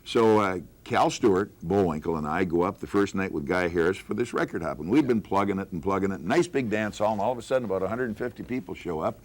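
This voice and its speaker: An older man, a veteran radio personality reminiscing in an animated, folksy way in a group interview. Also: low-pitched, fast, hardly any pauses.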